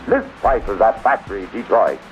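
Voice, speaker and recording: A man's voice: on the low side, big pitch swings, normal speed, soft background music. An American man working as a radio announcer, with a folksy, salesmanlike delivery in 1930s advertising style. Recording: muffled, noisy 1930s radio recording.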